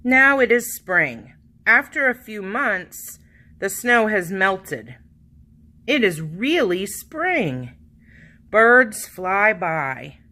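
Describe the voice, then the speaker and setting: A woman reading a children's picture book aloud as narrator, with expressive, dramatic emphasis and drawn-out words. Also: medium pitch. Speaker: children's storybook reader.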